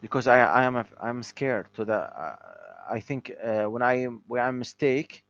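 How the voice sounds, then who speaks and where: Arabic-speaking guy learning english, halting, lots of uhs, dull dry call sound. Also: mid-range voice, a bit bright, no room sound. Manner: hesitant, halting, many fillers and restarts. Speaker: language learner. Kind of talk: online tutoring session.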